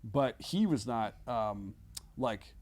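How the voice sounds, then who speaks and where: A man chatting casually as a comedian guest on a podcast, talking in halting, hedging bursts with casual swearing and frequent check-ins with listeners. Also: medium pitch, lively intonation, a little mellow.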